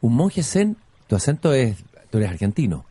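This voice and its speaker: A man with a Chilean accent hosting an interview show, speaking in an unhurried, expressive, literary way as he introduces and questions. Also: medium pitch, husky, no room sound. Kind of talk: reflective spoken introduction and conversational interviewing.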